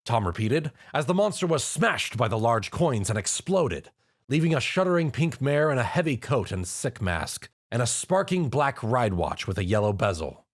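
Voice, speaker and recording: A man reading fan fiction aloud with lively, expressive narration. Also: mid-range voice, moderate pace. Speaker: reader.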